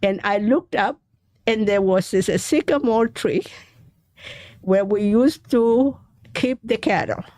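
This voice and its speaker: A middle-aged woman from Ethiopia, an author being interviewed, telling her story haltingly and searching for words. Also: mid-range voice, a little mellow, no echo. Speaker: author guest.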